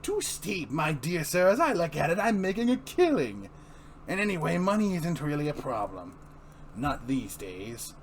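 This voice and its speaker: A man's voice: a little high, sing-song, normal speed, slight background noise, voice pushed up. Man playing a gleeful, sinister clown villain. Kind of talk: amateur dramatic comic book reading.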